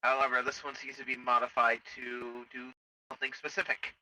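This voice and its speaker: A man's voice: medium pitch, flat delivery, fairly quick, bright, forceful tone, no room sound, poor audio. A man playing a clinical sci-fi military medical technician in an improvised game roleplay, explaining things in a formal, hesitant way. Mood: clinical, detached.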